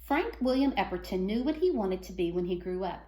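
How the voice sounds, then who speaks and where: A woman's voice: mid-range voice, sing-song, a little fast, mellow, reverberant, a little hiss or hum. A woman reading a children's story aloud for schoolchildren, phrasing expressively and stressing key words. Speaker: reader of a children's book.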